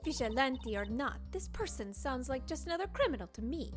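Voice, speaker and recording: A woman's voice: high-pitched, big pitch swings, a little fast, faint music behind. A woman voicing a haughty, scornful young female prosecutor in a fan game dub, with a put-on Spanish accent and a clipped, superior manner.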